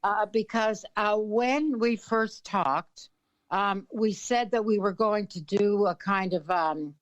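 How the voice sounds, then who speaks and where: An older woman from Canada, an author and influence consultant, speaking as an interview guest in a thoughtful, filler-laden way with frequent throat clearing. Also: mid-range voice, takes it slowly, no room sound, dull audio.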